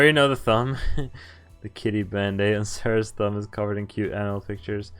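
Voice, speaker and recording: Deep-voiced man reading a visual novel, music behind. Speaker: game streamer. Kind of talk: casual let's play, reading visual novel text aloud with commentary. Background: game music.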